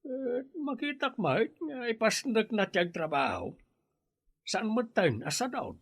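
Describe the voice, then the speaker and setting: Slow-talking Ilocano village elder. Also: male voice, sits high, big pitch swings, vocal fry, a bit bright, breathy edge, dry recording, studio quality, heavily acted. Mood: reflective. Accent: Filipino, Ilocano. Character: older village employer, practical and obliging. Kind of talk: Ilocano radio comedy drama acting.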